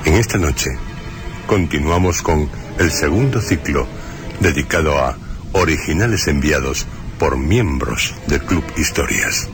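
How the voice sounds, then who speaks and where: A man presenting and narrating a radio drama in a solemn, measured, literary style. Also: rumbling low, expressive. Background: music.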